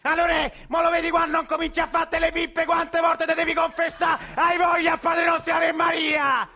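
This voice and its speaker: An actor playing a cranky ninety-year-old grandfather in a radio play, speaking with a Roman accent in a loud, coarse, grumbling voice. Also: very high-pitched, monotone, moderate pace, a faint crowd, voice pushed up. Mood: shouting. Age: older.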